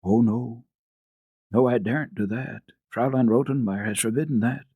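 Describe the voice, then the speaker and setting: A man voicing a small, frightened, homesick girl in a storybook, with a halting, faint and earnest delivery. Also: medium pitch, slightly rough, no room sound, phone-quality sound. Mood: sad, timid.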